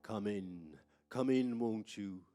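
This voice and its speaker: A man playing a gleefully macabre horror radio host in an old-time style, with a theatrical, drawn-out, mock-sinister delivery. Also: on the low side, over music.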